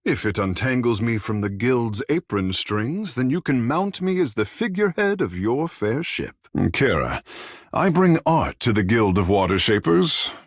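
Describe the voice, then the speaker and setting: A man playing a vain, flirtatious, sea-blessed godlike artist in a video game, husky and theatrical, with florid, playful delivery. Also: lowish voice, no room sound, studio quality. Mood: proud, dramatic. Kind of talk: video game character dialogue.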